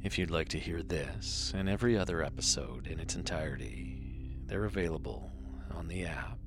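A man speaking calmly and softly as the host of a sleep podcast. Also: very deep voice, normal speed. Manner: calm, hushed, soothing. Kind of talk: calm spoken podcast introduction for sleep. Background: music.

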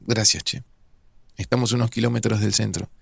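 An actor playing a grieving, resigned man in an audio drama, speaking Rioplatense Spanish in a subdued, hesitant, gravelly way. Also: lowish voice, fairly even intonation, normal speed, a bit bright, no room sound, studio quality, heavily acted. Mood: calm, matter-of-fact. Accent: Rioplatense Argentine. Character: grieving, resigned widower in a near-future Argentina. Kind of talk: naturalistic audio drama dialogue.

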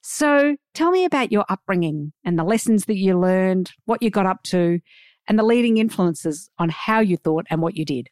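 A woman's voice: mid-range voice, expressive, moderate pace, a little mellow, no room sound, studio quality. A woman with an Australian accent hosting a podcast, introducing and interviewing with an enthusiastic, emphatic manner. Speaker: podcast host.

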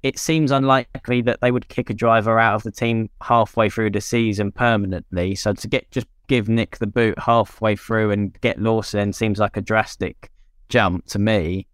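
A young man talks casually as a podcast co-host, drifting through his points with plenty of "you know"s. His voice sits a touch low and comes across smooth and clear. The recording is dry, with no room sound behind him. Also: fairly even intonation, normal speed, a bit bright, dry recording. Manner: conversational, many "you know" fillers. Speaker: podcast co-host, former racing driver. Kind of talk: casual chat.

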